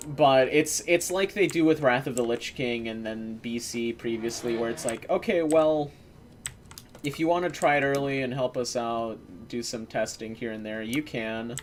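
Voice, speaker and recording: A man's voice: mid-range voice, moderate pace, slight background noise. A man streaming a game, chatting casually with viewers and swearing now and then. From Eastern European descent. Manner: relaxed, swearing, chatting to viewers while playing. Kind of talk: casual gameplay commentary.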